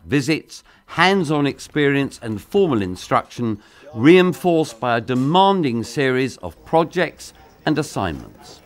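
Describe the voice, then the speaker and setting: A man giving scripted promotional narration in a polished, measured voice-over delivery. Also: mid-range voice.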